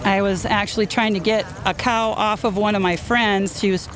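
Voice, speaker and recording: A woman in her fifties, a roundup rider, telling stories in a lively way and laughing easily during a casual outdoor interview. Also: medium pitch, moderate pace. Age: 50s. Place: outdoors at a busy event. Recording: noisy outdoor field recording.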